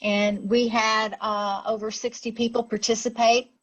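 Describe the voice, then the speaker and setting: A woman's voice: a little high, level tone, a little slow, rough recording. Woman closing a meeting. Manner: friendly, thankful, uses "y'all". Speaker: meeting organizer.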